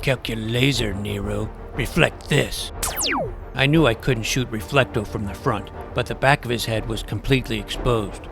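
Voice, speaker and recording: A man playing an earnest, nerdy schoolboy superhero who narrates his own adventure in a deadpan first-person style. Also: mid-range voice, normal speed, vocal fry, natural voice. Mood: defiant. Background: music.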